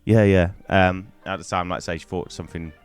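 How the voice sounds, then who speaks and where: Middle-aged man from Wales, very low voice, hoarse from a cold, rambling chatty vlogger, cheap mic with faint music. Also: fairly even intonation, a little fast. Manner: rambling, chatty, many ums and restarts. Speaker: gaming YouTuber. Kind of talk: casual to-camera storytelling. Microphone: poor-quality microphone.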